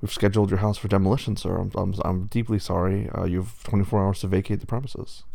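A man's voice: low-pitched, little pitch movement, quick pace, creaky, mellow, no echo, studio quality. A man voicing a tired storybook wolf who works as a county official, hesitant, polite and officious. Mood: apologetic. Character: apologetic male wolf, tired county bureaucrat in suit.

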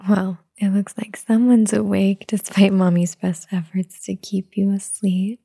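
A woman doing a whispered ASMR roleplay, talking softly and soothingly in a gentle whisper. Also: medium pitch, level tone, unhurried, creaky, husky, no echo. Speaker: ASMR performer.

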